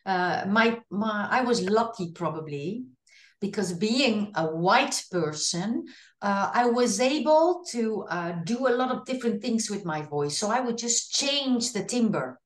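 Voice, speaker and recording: A woman with a Dutch accent, a singer in her fifties, chatting in an animated way over a video call from her home with an earphone mic, often restarting her sentences. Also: medium pitch, a little slow, reverberant. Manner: animated, gestures with hands while talking, frequent restarts. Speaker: singer being interviewed. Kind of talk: casual video call interview. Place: home room. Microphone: video call, earphone headset.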